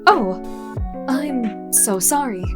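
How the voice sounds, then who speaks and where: A woman plays a considerate young peacemaker with a fairly high voice and gentle manner. It is an audio drama, with loud music underneath. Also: lively intonation, drawn-out words, exaggerated voice. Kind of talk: fan audio drama acting.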